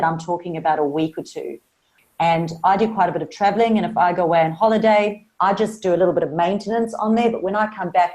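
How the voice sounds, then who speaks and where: A woman with a South African Australian accent talks as a podcast guest about marketing. Her voice sits a little low, and she speaks in an earnest, upbeat, encouraging way. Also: a little mellow, poor audio. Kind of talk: podcast interview.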